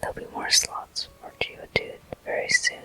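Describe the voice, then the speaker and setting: A person whispering soft, breathy, unhurried commentary in short phrases while playing a video game for ASMR viewers. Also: faint music behind, poor audio. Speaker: video game player and host.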